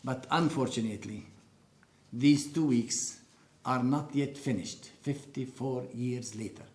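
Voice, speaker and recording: A man in his sixties with an Arabic accent, giving earnest, deliberate personal testimony in an interview. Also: mid-range voice, a little mellow, roomy sound. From Upper Galilee, Israel. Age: 60s. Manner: earnest, deliberate storytelling with emphatic pauses.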